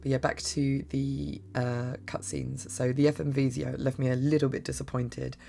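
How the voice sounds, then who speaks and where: A woman with a bad cough and a croaky, hoarse voice, giving a casual, rambling spoken review that often restarts mid-sentence. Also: rumbling low, level tone, normal speed, dark tone, slight background noise. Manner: rambling, gushing, many restarts. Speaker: game reviewer.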